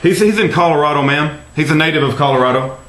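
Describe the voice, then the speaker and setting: A man's voice: fairly high pitch, fast, a bit bright, a little hiss or hum. An American man speaking as an activist caller on the phone, earnest and polite, hesitating and repeating himself as he makes his case. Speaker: activist caller phoning a congressman's office. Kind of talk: earnest phone conversation, advocacy. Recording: roomy recorded phone call.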